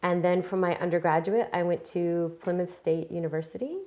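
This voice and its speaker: A woman's voice: on the low side, little pitch movement, a little fast, a little mellow. A woman in her forties from Maine, a new library employee telling her story in a casual interview, bubbly and laughing often. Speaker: library staff member, guest.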